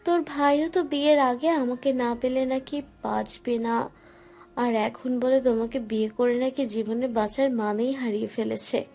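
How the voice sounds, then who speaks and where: A woman voicing a sharp-tongued young wife who scolds and teases in an audio story. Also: a little high, steady tone, normal speed. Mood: sassy. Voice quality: sassy, scolding. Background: soft music.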